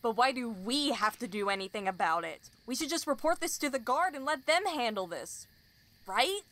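A performer voicing a young woman's-pitched, cautious companion in an audio drama, worried and exasperated. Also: animated, moderate pace, a bit bright. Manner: protesting, exasperated.

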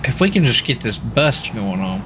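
Male game streamer, average pitch, casual repetitive chat, light background noise. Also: big pitch swings, normal speed. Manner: folksy, rambling, repeats himself. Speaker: live streamer playing a role-play game. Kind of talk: casual chat with viewers and players. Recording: clear background noise, vehicle sounds.

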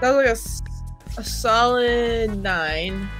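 An amused young woman answers through webcam audio with a fairly high voice. Loud music nearly swamps her. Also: slow pace. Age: early 20s. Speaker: video chat stranger.

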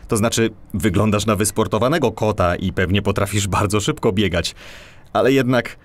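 A man's voice: fairly low pitch, moderate pace, natural voice. A man playing a polite, self-assured cat who is a police gadget expert, in a children's audio drama. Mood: upbeat.

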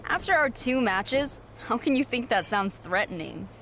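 A woman's voice: fairly high pitch, lively intonation, moderate pace, background noise. A young woman voicing a confident, cocky wrestler in a video game cutscene. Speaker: player character wrestler.

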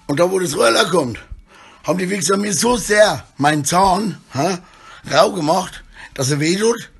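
Middle aged guy rambling into his phone in a room, kinda husky, jumps around a lot. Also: sits high, a bit bright. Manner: rambling, disjointed, often tags sentences with questions. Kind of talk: rambling selfie video monologue. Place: small room indoors. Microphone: phone held at arm's length.

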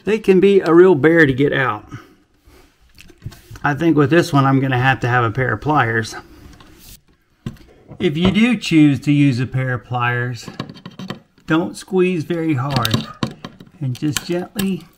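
An older man hosting a home repair video in his kitchen, explaining in a relaxed, folksy, plain-spoken way on a lapel mic. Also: a little high, a little slow, pauses often, slight background noise. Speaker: DIY video host. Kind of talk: casual how-to demonstration.

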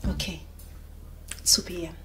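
A woman playing a wife in a comedy skit, speaking softly and teasingly, half whispering. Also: measured pace, soft background music. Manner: soft, hushed, teasing.

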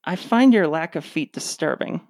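A woman plays a flippant, nerdy slacker who grumbles through a radio comedy. Her delivery is offhand yet very varied in pitch, recorded dry. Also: expressive, normal speed, darkish tone, dry recording. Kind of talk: comedic radio play acting.

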